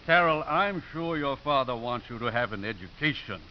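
A gentle old priest voiced by a male actor with very expressive, rising and falling speech. He is courteous and dryly funny in a 1950s radio play, on a dull recording with faint hiss. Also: medium pitch, moderate pace, natural voice. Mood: gently wry.

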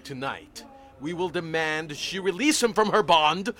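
An actor playing the pompous, preachy leader of a fanatical brotherhood in a supernatural audio drama. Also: male voice, on the high side, lively intonation, measured pace, pushed and bright. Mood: zealous, commanding. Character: fanatical male leader of human supremacist brotherhood.

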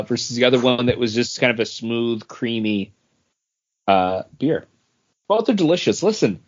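A man chatting casually as a podcast co-host. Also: medium pitch. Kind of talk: casual chat. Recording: dull, lo-fi podcast audio.

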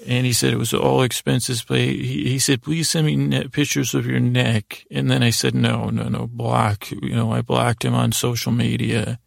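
A man improvising a rambling, whimsical bedtime monologue as a host, halting and stammering, often repeating his words. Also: mid-range voice, little pitch movement, creaky, dry recording, studio quality. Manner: whimsical, lightheartedly silly. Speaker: podcast host telling bedtime stories.

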